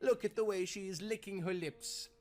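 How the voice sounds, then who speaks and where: A man's voice: high voice, normal speed, faint music behind, pitched above the performer's own voice, natural voice. A man voicing a wicked, gleeful old crone, the devil's grandmother, in a storybook tale.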